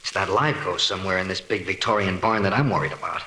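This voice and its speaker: An actor playing a cocky, wisecracking newspaper reporter in a hissy 1940s radio drama. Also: male voice, sits low, quick pace, pushed and bright, low-quality recording. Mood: confident, determined. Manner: brash, slangy wisecracking delivery.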